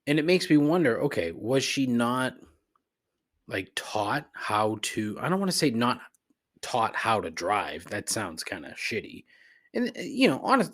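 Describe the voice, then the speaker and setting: A man's voice: medium pitch, fairly bright tone. A man hosting a podcast, rambling casually and profanely as he responds to listeners with conversational gripes. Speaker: podcast host. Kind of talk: casual rambling solo commentary, answering voicemails.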